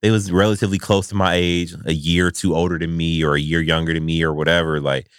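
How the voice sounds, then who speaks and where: A man in his thirties, chatting casually with friends in a laid-back, slangy way, telling animated stories. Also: low voice, fast, clean tone, dry recording, clean studio sound. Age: 30s. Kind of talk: casual chat.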